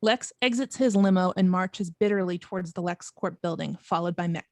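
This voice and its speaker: A woman's voice: fairly low pitch, fairly quick, dry recording, studio quality. A woman reading screenplay stage directions aloud as narrator in a scripted table read.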